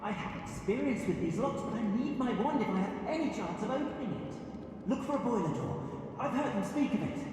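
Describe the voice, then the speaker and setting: Expressive elderly wizard trader. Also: male voice, very high voice, fairly quick, noisy background. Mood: desperate, urgent. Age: older. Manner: earnest, expressive, rueful. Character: anxious, grateful elderly wizard metal trader. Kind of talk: video game dialogue. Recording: game music behind the voice.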